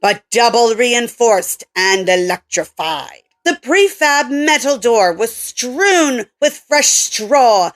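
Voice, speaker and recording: Female author-narrator, higher-pitched, expressive and informal storytelling delivery. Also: takes it slowly, few pauses. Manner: informal, reading cold with stumbles.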